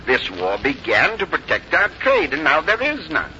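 A self-serving, pompous politician in an old radio drama, formal and slippery. The voice sits slightly high and swings widely, with a very forceful, bright edge. It sounds like a dull, noisy vintage broadcast. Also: male voice, wildly swooping pitch, normal speed, exaggerated voice. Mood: evasive, placating. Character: haughty, evasive congressional politician, self-serving and practical. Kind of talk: 1950s radio drama acting. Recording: muffled, noisy 1950s radio recording.

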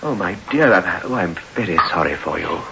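An actor playing a gentle, forgiving, pious vicar in a radio drama, speaking in an earnest, moralizing way. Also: male voice, low voice, very animated, a little fast, gravelly, full character voice. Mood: compassionate. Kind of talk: 1960s radio drama acting. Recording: muffled, noisy old radio recording.

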